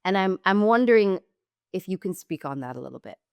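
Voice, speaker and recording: A woman hosting a podcast, first generation in the US with Jamaican and Guyanese roots, talking in an animated, emphatic way. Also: medium pitch, fairly quick, vocal fry, clear, resonant tone, no echo. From Jamaican and Guyanese heritage, first generation in the US. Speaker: podcast host. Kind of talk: conversational interview.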